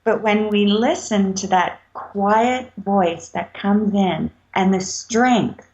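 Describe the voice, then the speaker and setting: A woman's voice: medium pitch, slow pace, darkish tone. Reflective woman guest, slightly muffled. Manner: reflective, hesitant with fillers. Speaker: author guest. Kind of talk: casual interview chat. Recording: slightly dull lo-fi sound.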